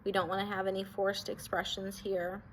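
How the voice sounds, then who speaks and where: Female guide for a grounding exercise, mid-pitched, soothing and permissive instruction, light ambient noise. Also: flat delivery, moderate pace. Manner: gentle, reassuring, permissive instruction. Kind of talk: guided grounding body scan meditation.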